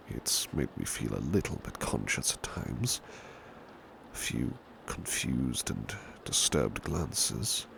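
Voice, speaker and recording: A man performs a gentle British butler with an extremely low, heavily creaky voice. He speaks in a breathy hush that often drops to a whisper, and his intonation moves expressively. His manner is deferential and comforting, in the style of an ASMR roleplay. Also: exaggerated voice. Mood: bashful, grateful. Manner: tender, deferential, reassuring. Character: devoted, gentle male butler soothing a sleepy master. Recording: clear background ambience noise, rain. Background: rain ambience.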